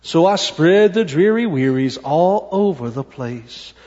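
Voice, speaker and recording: A man's voice: on the high side, lively intonation, unhurried, a little mellow, pitched below the performer's own voice. A man voicing a glum, resigned, pouty fish who mopes in mournful rhyme for a storybook. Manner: mournful rhyming refrain with sung blubbing. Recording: muffled sound, long hall reverb.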